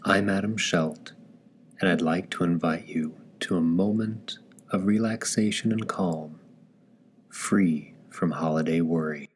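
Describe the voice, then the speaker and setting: A man leading a guided meditation in calm, gentle scripted narration, with deliberate phrasing and long pauses. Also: sits low, slightly rough.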